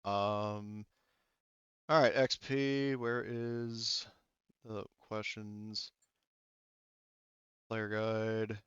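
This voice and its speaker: Smooth-voiced laid-back game master. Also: male voice, fairly low pitch, level tone, drawn-out words, long pauses, fairly bright tone, no echo, lo-fi sound. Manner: rambling, filler-heavy, laid-back. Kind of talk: casual tabletop game session talk.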